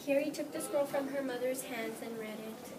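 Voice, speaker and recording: A woman's voice: sits high, normal speed, background noise, close to an everyday voice. A female reader narrating a storybook play from a script. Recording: loud room chatter behind.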